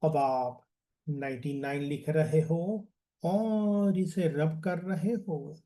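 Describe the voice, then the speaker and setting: A man leads a guided relaxation as a meditation coach, soothing and deliberate, repeating phrases and letting them trail off. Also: fairly high pitch, warm tone.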